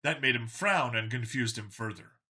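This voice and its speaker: A woman's voice: rumbling low, normal speed, pushed and bright, husky. A woman reading a scripted science fiction story aloud as an audiobook narrator.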